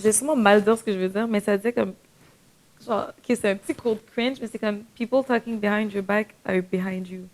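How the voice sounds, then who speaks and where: A woman's voice: medium pitch, steady tone, fairly quick, rough recording. A woman with a slight Quebec French accent chats casually and animatedly, slipping English phrases into her French. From Quebec, Canada. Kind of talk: casual podcast chat with English slang mixed in.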